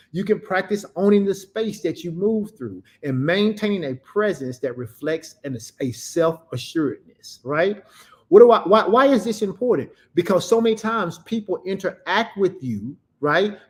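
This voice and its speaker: A middle-aged male preacher with a fairly high-pitched voice delivers a motivational message. He talks emphatically, repeating his points in a rhythmic way and answering his own questions. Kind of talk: motivational sermon.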